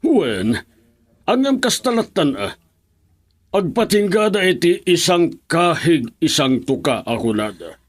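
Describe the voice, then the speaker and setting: A man's voice: a little high, very animated, a little slow. A Filipino man in a radio drama, playing a stern, stubborn, opinionated middle-aged uncle. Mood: stern, scornful.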